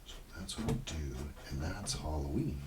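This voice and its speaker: A man doing a casual ASMR roleplay, murmuring and rambling in a low-key, unhurried way, sometimes near a whisper. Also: animated, recorded from a distance, heavy background noise.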